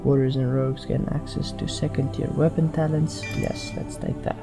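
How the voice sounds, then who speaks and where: A man's voice: medium pitch, flat delivery, normal speed, gravelly. A man hosting a casual let's play, musing aloud as he goes. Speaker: gaming channel host. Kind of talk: casual let's play commentary with some read-aloud roleplay. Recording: game music behind the voice.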